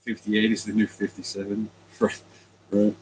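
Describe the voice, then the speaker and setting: A man's voice: fairly low pitch, monotone, moderate pace, darkish tone, slight background noise, poor audio. Joking man in his forties. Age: 40s. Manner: joking, crude banter. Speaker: livestream co-host. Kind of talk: casual livestream chat.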